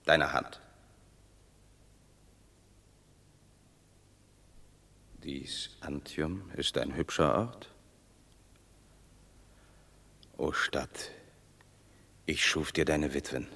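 Banished Roman war hero, proud and bitter, slow and measured, stage verse in a radio play. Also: male voice, a bass voice, gravelly, bright, forceful tone, heavily acted. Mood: solemn farewell. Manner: declaiming, commanding, bitter.

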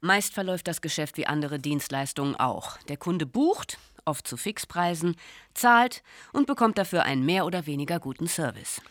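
A woman's voice: low-pitched, animated, normal speed, no room sound, studio quality. An actress playing a cool people smuggler, matter-of-fact and articulate, explaining her trade in a radio play. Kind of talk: radio play dialogue, expository.

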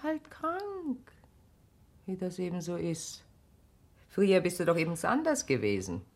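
A woman's voice: on the low side, wildly swooping pitch, measured pace, a little mellow, heavily acted. An actress playing a motherly, shrewd middle-aged wife in a radio play, coaxing and frank. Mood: probing. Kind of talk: naturalistic radio play acting.

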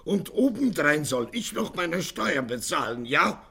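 A gruff syndicate boss with a bright, forceful voice and a slow delivery. He blusters indignantly in slang, in classic German radio drama style. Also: on the high side, big pitch swings, full character voice. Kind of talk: 1950s German radio play acting.

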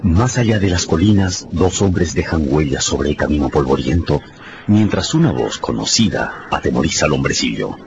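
A man's voice: rumbling low, expressive, normal speed, muffled recording, background noise. A man giving dramatic scripted narration of a radio story.

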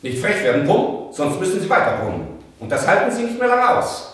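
Swooping, patronizing prison director, echoey. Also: male voice, sits high, big pitch swings, fairly quick, fairly bright tone, a little hiss or hum. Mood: threatening. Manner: officious, condescending, emphatic. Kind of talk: 1960s German radio play acting. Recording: echoey 1960s radio play studio.

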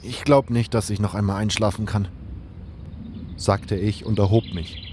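Man acting as earnest adventurer hero, low voice, measured first-person storytelling, fantasy audio drama, birdsong and noise behind. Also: fairly even intonation, a little mellow, lightly acted. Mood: calm, reflective. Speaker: narrator and lead character of a fan audio drama. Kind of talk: audio drama narration with in-character dialogue.

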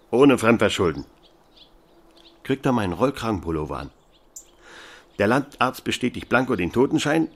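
An actor playing a gruff, officious small-town policeman in an audio drama, laconic and bossy. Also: fairly low pitch.

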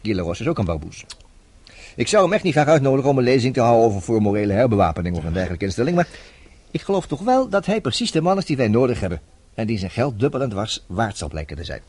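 A man's voice: mid-range voice, big pitch swings, quick pace, darkish tone, husky. An actor playing a worldly, self-assured man who advises his troubled friend in a chatty, teasing radio drama style. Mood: explaining, confident.

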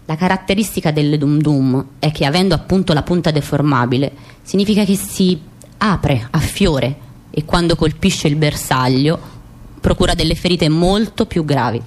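An actress playing a cold, methodical agent of a killing squad in radio theatre, matter-of-fact and precise. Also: female voice, deep voice, normal speed, a little mellow. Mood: cold, instructive. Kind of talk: dramatic radio theatre, instructional recitation.

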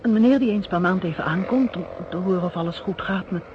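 A woman's voice: on the low side, fast, natural voice. An actress playing a polite, wary housewife in a radio play, flustered and hesitant, repeating her words. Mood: hesitant, respectful. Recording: dull, noisy old radio recording.

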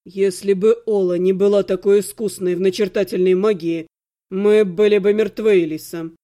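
A woman's voice: medium pitch, fairly even intonation, moderate pace, dark tone, pitched below the performer's own voice, close to an everyday voice. A woman voicing a stern male necromancer in an audiobook, icy and clipped with held-back anger. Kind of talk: audiobook character acting.

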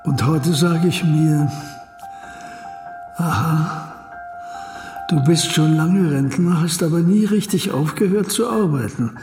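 An actor playing an elderly retired Chinese scholar in a radio drama monologue, speaking in a measured, reflective and contented way. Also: male voice, a little high. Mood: wistful, resigned. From China. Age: 80s. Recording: roomy echo, music behind.

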